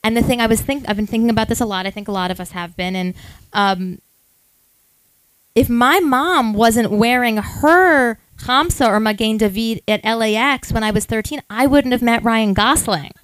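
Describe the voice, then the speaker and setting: Excitable rambling woman telling a story. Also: a little high, moderate pace, smooth, dry recording, low-quality recording. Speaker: podcast co-host. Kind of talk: live podcast storytelling before an audience.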